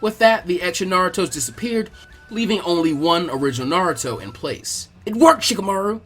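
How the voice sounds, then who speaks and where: A man's voice: high voice, brisk, a bit bright, breathy edge, faint music behind. A man reading a scripted fan fiction story aloud as its narrator.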